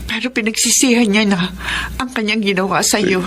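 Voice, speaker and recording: A woman's voice: medium pitch, normal speed, a bit bright, slight background noise, close to an everyday voice. A Filipino woman acting in a radio drama as a kind, emotional middle-aged mother, tender and dramatic. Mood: tender, resolved. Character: emotional kind mother reunited with long-lost brother.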